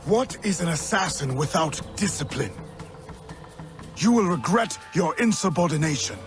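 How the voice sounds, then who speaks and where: An actor voices a severe older male mentor of assassins in a video game. His voice sits a little high for a man, formal and commanding, with faint music behind. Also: animated, moderate pace, natural voice. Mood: furious.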